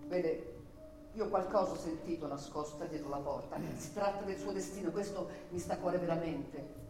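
A woman's voice: fairly low pitch, moderate pace, lightly acted. Anxious actress as a landlady, roomy and distant. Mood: timid, solicitous. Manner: hesitant, solicitous, stammering repetitions. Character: anxious, deferential, gossiping female boarding-house landlady. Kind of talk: Italian radio theatre acting. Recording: roomy, distant from mic, music behind.

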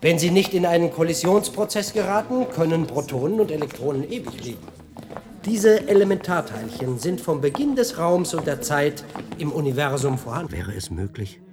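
An actor playing an intense, musing physicist in a radio drama, lecturing and speculating with halting rhetorical questions. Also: male voice, on the high side, big pitch swings, noisy background. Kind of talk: German science-fiction radio drama acting.

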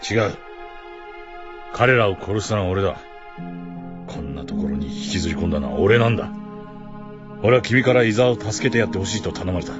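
A man's voice: low-pitched, a little fast, long pauses, dull audio, music in the background, natural voice. A man acting a grim, resolute ex-soldier who leads an expedition in a radio drama, speaking tersely with brooding intensity. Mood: anguished.

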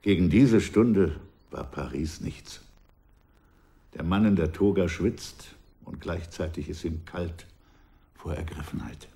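An older man playing a former revolutionary in a radio drama, recalling his past in a measured, wistful way that often drops to a hush. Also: low-pitched, gravelly, heavily acted. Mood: reflective. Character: aging male ex-revolutionary, reflective and proud. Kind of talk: radio drama acting, reflective narration.

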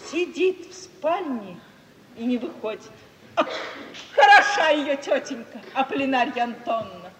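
An actress playing a shrewd, warm-hearted provincial gentlewoman in an expressive, emphatic 1950s Russian radio theatre style. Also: high voice, unhurried, crowd noise. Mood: indignant. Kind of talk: mid-century Russian radio theatre acting. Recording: 1950s radio play, distant, echoey hall.

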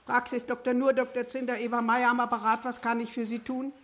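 An actress in a radio drama playing a polite doctor's receptionist answering calls in a rote, businesslike way. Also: female voice, a little high, level tone, a little fast, a bit bright, close to an everyday voice. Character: routine, polite female doctor's receptionist on the phone.

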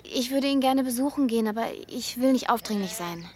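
A woman's voice: on the high side, a little fast, slight background noise, lightly acted. An actress playing a young village woman in a radio drama, practical in manner but somewhat hesitant. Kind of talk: naturalistic German radio drama acting.

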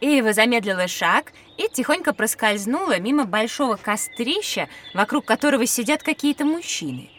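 A woman's voice: fairly high pitch, lively intonation, moderate pace, hardly any pauses, a bit bright, slight background noise. A woman playing an attentive, inquisitive young pupil who describes what is around and asks questions in an educational audio drama. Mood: curious, attentive.

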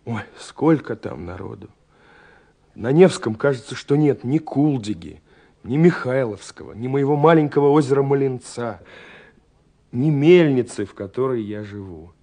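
An actor playing a lovestruck young man who narrates his letters in a lyrical, wistful, intimate way, with pauses, in a romantic radio drama. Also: medium pitch, lively intonation, vocal fry, warm tone. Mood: dreamy, animated. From Russia. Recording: 1970s radio recording with music.

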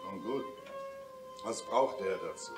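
An actor in a German radio play, playing a boisterous, philosophizing Parisian of the Commune era who declaims and sings. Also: male voice, deep voice, lively intonation, takes it slowly. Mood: proud, philosophizing. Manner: declamatory, theatrical. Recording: roomy, distant radio-play sound with loud music.

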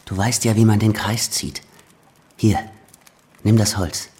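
A man's voice: fairly low pitch, steady tone, measured pace, a little mellow, natural voice. An actor playing an earnest, cautious journeyman who instructs a friend urgently and protectively in a German radio play. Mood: firm.

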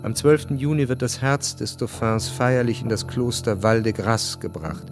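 An actor as the sober, literary narrator of a historical radio drama, telling it in a measured way. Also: male voice, medium pitch, faint music behind, natural voice.

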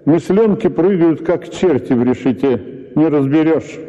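A man's voice: on the high side, measured pace, dark tone. Man lecturing in a tinny hall. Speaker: actor reading a story. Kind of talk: radio drama reading. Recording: tinny radio broadcast with hall reverb.

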